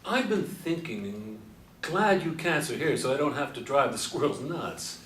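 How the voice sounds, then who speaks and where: A man's voice: mid-range voice, lively intonation, normal speed, fairly bright tone, lightly acted. A man acting in a stage play as an aging, folksy Beatnik who speaks emphatically and in slang. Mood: fired up. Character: aging male Beatnik, folksy, fired-up old cat. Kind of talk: stage play scene reading. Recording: echoey room from far off, faint noise.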